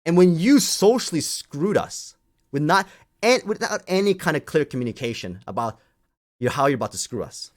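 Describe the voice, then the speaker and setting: Animated man on an exasperated rant. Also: fairly high pitch, normal speed. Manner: emphatic, repeats phrases for effect. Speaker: crypto community host. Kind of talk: impassioned off-the-cuff rant to an online audience.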